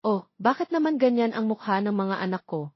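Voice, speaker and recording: A woman's voice: medium pitch, expressive, brisk, dry recording, lo-fi sound, close to an everyday voice. A woman voicing an affectionate, soothing mother of young twins in an audio romance story. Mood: tender, reassuring.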